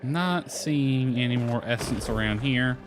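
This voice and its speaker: A man's voice: medium pitch, unhurried, background noise. A man giving casual video game commentary, muttering to himself with mild grumbling and swearing.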